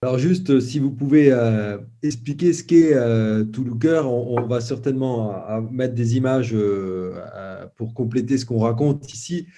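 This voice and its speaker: Very dark-voiced male interviewer. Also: mid-range voice, unhurried, few pauses. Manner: hesitant, filler-heavy questions. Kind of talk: interview questions.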